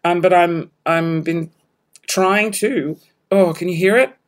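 A young Australian woman hosting her podcast at home, her voice worn hoarse, chatting in a rambling, self-mocking way with scattered asides. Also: fairly low pitch, slow. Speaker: podcast host. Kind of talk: casual solo podcast chat. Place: home room, balcony door open.

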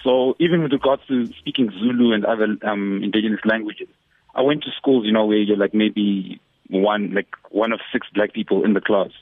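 A man's voice: lowish voice, normal speed, no room sound. A man in his thirties from Zimbabwe, a radio interview guest talking casually with lots of fillers and restarts. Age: 30s. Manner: relaxed, many fillers and restarts. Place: radio studio. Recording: dry, phone-like radio studio sound.

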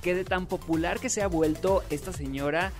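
A Mexican man hosting a radio entertainment show, with a fairly high voice for a man. His talk is chatty and playful, and he rolls back to his catchphrases. Soft music plays under him. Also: moderate pace. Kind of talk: upbeat gossip radio hosting.

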